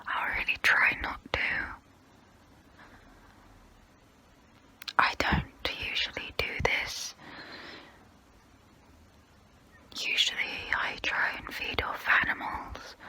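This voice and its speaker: Ancient female vampire, acted by a woman, bright crisp whisper, intimate ASMR role play, gentle but predatory, haunted by guilt, close mic. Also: mid-range voice, exaggerated voice. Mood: soothing, reassuring. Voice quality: breathy whisper. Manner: slow, halting, intimate, soothing. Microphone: close-up microphone.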